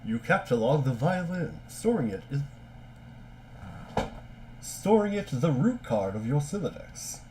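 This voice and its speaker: A man's voice: on the high side, very animated, a little slow, long pauses, darkish tone, slight background noise. A man reading a webcomic aloud as a snide, teasing narrator, sardonic and mock-formal. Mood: wry, teasing. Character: snide second-person storybook narrator, wry and teasing.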